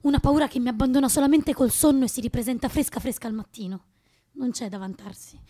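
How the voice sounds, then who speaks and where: Actress as compassionate young woman revolutionary, fearful yet loyal; higher-pitched, earnest, theatrical radio drama. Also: fast, warm tone, close to an everyday voice. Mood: fearful, confiding.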